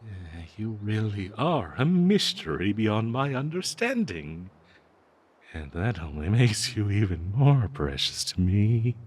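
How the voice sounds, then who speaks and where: A man voicing a bloodthirsty, doting cursed dagger in an audio roleplay, with theatrical, halting, gushing talk full of endearments. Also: fairly low pitch, very animated, husky, full character voice. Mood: adoring, giddy. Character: obsessive cursed talking dagger, bloodthirsty, doting, giddy, fawning.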